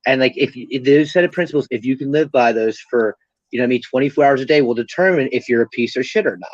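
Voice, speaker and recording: A man's voice: mid-range voice, fast talker, no room sound, muffled recording. A young man in recovery, talking candidly and casually as an interview guest, rambling with lots of fillers and swearing freely. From Pennsylvania.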